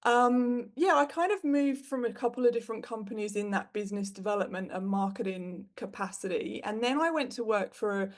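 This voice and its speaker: A woman's voice: mid-range voice, brisk, few pauses. A woman in her thirties from the UK with a British accent, a podcast guest telling her career story frankly, with plenty of fillers. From United Kingdom. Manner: frank and animated, with frequent fillers. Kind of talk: candid conversational storytelling.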